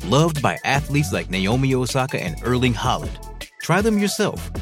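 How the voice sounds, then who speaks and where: A man giving a brisk, promotional scripted sponsor read. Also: medium pitch, big pitch swings. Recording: voice-over with music bed.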